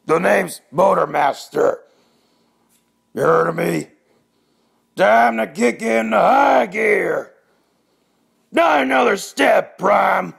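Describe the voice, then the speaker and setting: A threatening cartoon robot bruiser played by a man. The voice is rough and thick with gravel, swinging between grim mutters and brash threats. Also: high voice, animated, slow, pauses often, fairly bright tone, heavily acted. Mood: cocky, threatening. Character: tough, gruff robot villain, brash and threatening. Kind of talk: cartoon voice audition.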